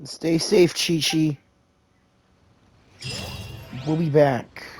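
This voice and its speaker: A man's voice: fairly high pitch, steady tone, very slow, darkish tone, breathy edge, dry recording. A man streaming a video game, making brief, casual reactions.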